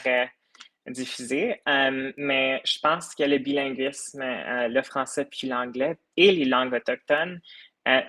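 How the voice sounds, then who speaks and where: An earnest young man from the United States talks through his ideas in a relaxed interview. His voice is bright and forward. He often hesitates with fillers before pushing on. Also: mid-range voice. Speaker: podcast creator, guest. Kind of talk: casual interview talk.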